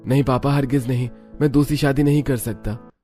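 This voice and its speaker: A man's voice: mid-range voice, fairly even intonation, fairly quick, warm tone, faint music behind, voice pushed up, lightly acted. A man voicing a heartbroken young husband pining for his wife, pleading and sorrowful in cartoon melodrama. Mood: pleading, regretful. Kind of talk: melodramatic cartoon story dialogue.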